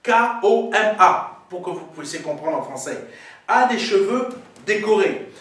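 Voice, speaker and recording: A male pastor preaches in a church sanctuary with a high, lively voice that swings up and down. He teaches point by point, often pausing for "Amen". The sound echoes heavily around the hall, picked up from a distance. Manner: teaching, explaining Greek words. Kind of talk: sermon. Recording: very echoey hall, mic far off.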